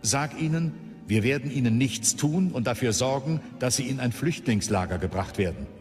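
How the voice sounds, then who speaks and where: An actor playing a commanding, composed Jedi general in a radio play, terse and authoritative. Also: male voice, mid-range voice, lively intonation, moderate pace, close to an everyday voice. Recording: reverberant studio drama with music.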